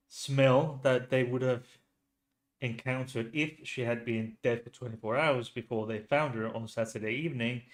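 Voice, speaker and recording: A man with a Finnish accent, host of a true crime channel, talking casually with lots of restarts and pauses. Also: medium pitch. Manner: hesitant, many restarts and fillers. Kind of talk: casual solo commentary.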